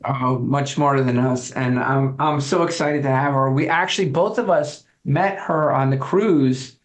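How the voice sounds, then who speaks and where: A man's voice: medium pitch, steady tone, measured pace. A man co-hosting a podcast, introducing a guest eagerly and stumbling over many fillers. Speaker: podcast co-host. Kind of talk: casual podcast chat.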